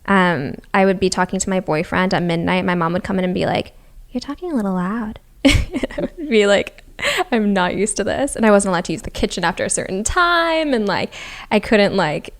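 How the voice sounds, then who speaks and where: A woman's voice: mid-range voice, animated, fairly quick. A young woman in her twenties who grew up in Massachusetts and whose first language was Japanese, chatting as a podcast guest, laughing often and saying "like" a lot. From Massachusetts, USA. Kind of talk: casual chat.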